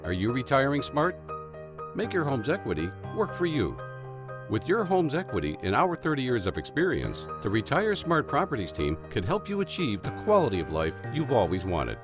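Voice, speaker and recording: A man's voice: huge pitch swings, normal speed. Man reading an upbeat ad over music. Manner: smooth, upbeat sales pitch. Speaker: commercial announcer. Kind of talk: scripted radio-style ad read.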